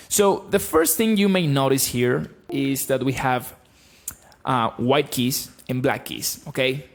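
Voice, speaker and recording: A man in his thirties from Argentina, with an Argentine accent, giving a blunt, animated guitar lesson on a live stream from a small home room into a close stand mic. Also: medium pitch. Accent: Argentine Spanish. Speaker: guitar teacher. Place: small room with bookshelves, indoors. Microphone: studio mic on stand, close.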